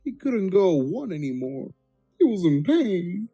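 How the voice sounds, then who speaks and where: Amateur storyteller, slow delivery, slightly husky, mock-dramatic, crude, music in the background. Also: mid-range voice, very animated, warm tone. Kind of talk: dramatic reading of a comic story.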